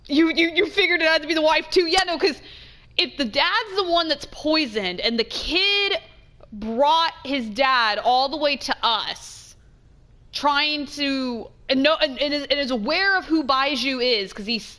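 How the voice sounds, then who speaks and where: A woman's voice: high voice, animated, measured pace, sharp tone. A woman game streamer giving casual live commentary, emphatic, repeating phrases as she thinks aloud.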